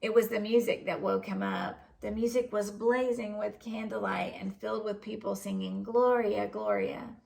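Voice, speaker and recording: A woman reading a children's story aloud as an expressive storyteller. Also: medium pitch, normal speed, vocal fry, few pauses. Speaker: storybook reader. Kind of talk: scripted narration, children's story read aloud.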